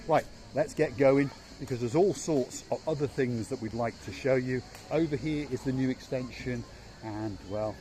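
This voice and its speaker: Playful chatty guy filming a walk round a resort, faint outdoor hiss, steady middling voice. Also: medium pitch. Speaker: vlog host. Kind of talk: casual walking tour vlog. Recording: faint outdoor background noise.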